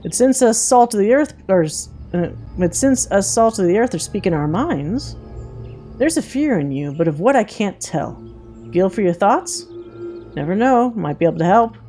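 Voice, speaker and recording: A woman plays a folksy video game huntress, frank and protective, talking in homespun turns of phrase. The voice is mellow and slightly dark, with faint music behind. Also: mid-range voice, animated, normal speed, lightly acted. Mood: frank, probing. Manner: folksy drawling diction, dropped grammar. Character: plainspoken, folksy female hunter, protective and suspicious.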